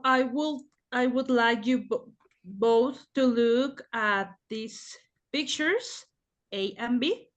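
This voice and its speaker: A young adult woman running an oral English exam, asking measured questions and guiding the candidates. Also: sits high, fairly bright tone.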